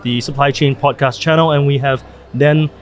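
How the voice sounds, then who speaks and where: A man's voice: mid-range voice, fairly even intonation, moderate pace, faint music behind. A man hosting a podcast interview at a trade event, talking in a hesitant way with many fillers and restarts. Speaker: podcast host. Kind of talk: conversational interview.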